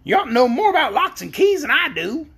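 A reader voicing a brisk, cheeky female yellow hen in a storybook, self-assured and fond of arguing. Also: high voice, sing-song, pitched above the performer's own voice, exaggerated voice. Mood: matter-of-fact.

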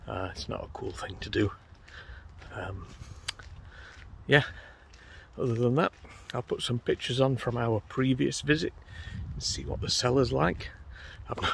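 A man's voice: medium pitch, expressive, normal speed, creaky. A man in his forties casually narrating an urban exploration vlog, chatty and rambling with fillers, filmed on a phone held at arm's length outside. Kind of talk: casual walk-through commentary. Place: outdoors by a canal path. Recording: phone audio with outdoor noise.

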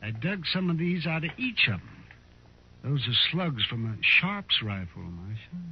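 An actor playing a wry, folksy frontier town doctor in a 1950s radio western, muttering dryly. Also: male voice, fairly high pitch, big pitch swings, a little slow. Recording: muffled, faintly noisy vintage radio recording.

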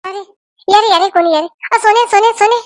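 A woman voicing an excitable, fussing rural auntie in a homemade comedy cartoon, exclaiming and repeating herself in Varhadi Marathi. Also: extremely high pitch, a little fast, dry recording, studio quality. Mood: alarmed, fussing. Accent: Varhadi Marathi from Vidarbha. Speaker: cartoon channel's main character and presenter.

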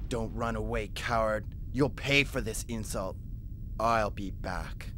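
A woman voicing a proud, hot-headed rival robot fighter in an animated game, aggressive and defiant. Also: rumbling low, slow, slight background noise, exaggerated voice. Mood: bitter, vengeful. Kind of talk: animated game cutscene dub. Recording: loud music over the voice.

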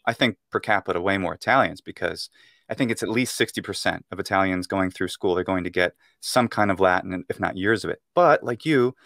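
A man's voice: sits low, a little fast, gravelly, fairly bright tone, no room sound, studio quality. Thoughtful American man on a video call. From United States. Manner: thoughtful, explains at length with fillers and restarts. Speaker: guest, Latin speaker and teacher. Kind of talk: casual interview over video call. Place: room at home. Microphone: earphone mic on video call.

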